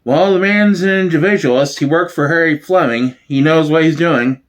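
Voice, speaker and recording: A man's voice: on the high side, moderate pace, natural voice, poor audio. A man performing an older father in a sitcom reading, boastful and grumbling. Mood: defensive.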